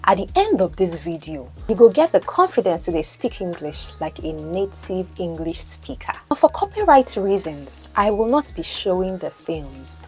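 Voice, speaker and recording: A woman's voice: on the low side, big pitch swings, moderate pace, hardly any pauses, a little mellow, soft background music. A Nigerian woman whose first language is Yoruba, teaching English as a coach and podcast host, explaining and asking the listener questions. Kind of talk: teaching lesson, mixing in Pidgin.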